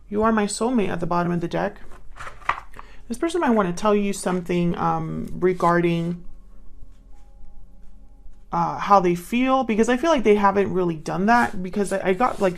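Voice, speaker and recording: A woman giving a casual spoken tarot reading, often repeating her prompts to herself as she goes. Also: medium pitch. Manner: murmurs card prompts to herself, repeating them. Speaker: tarot reader, channel host. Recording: clear background noise with card shuffling clicks.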